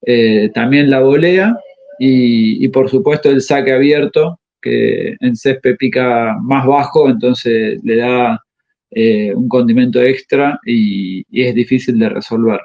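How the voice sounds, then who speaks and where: Halting coach, muffled. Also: male voice, mid-range voice, gravelly. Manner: halting, pauses often to find words. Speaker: tennis coach. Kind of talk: interview answers. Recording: slightly muffled audio.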